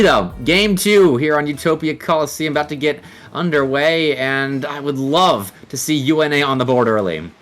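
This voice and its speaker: A man's voice: on the high side, a bit bright. A man giving live analytical commentary on a video game match, explaining play in long sentences. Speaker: esports commentator, analyst caster.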